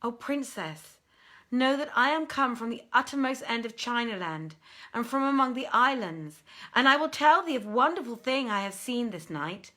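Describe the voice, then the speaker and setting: A woman's voice: fairly high pitch, lively intonation, moderate pace, bright, forceful tone, pitched above the performer's own voice. A woman voicing a frightened male jinn who begs for mercy in formal, archaic storybook language. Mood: anxious pleading. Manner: pleading, urgent, archaic formal speech.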